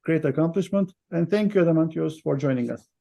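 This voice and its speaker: A man's voice: fairly high pitch, fast talker, vocal fry, mellow. A man hosting an interview, giving a prepared introduction and putting questions to his guest. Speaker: interviewer, host.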